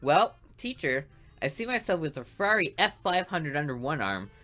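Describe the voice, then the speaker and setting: A woman's voice: low-pitched, very animated, normal speed, soft background music. A woman voicing a brash, show-off teenage boy with big dreams, reading a visual novel aloud. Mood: boastful. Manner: boastful and loud.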